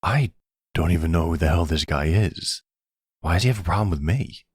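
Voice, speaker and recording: A man voicing a middle-aged silver fox mafia boss in an ASMR roleplay drama, firm but protective, with a measured, fatherly, streetwise manner. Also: lively intonation, no echo, studio quality. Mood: incredulous. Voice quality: low gravelly husky.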